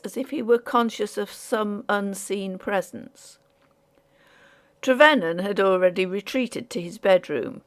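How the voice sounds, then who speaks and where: A woman narrating an audiobook story in a measured, formal storytelling manner. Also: mid-range voice.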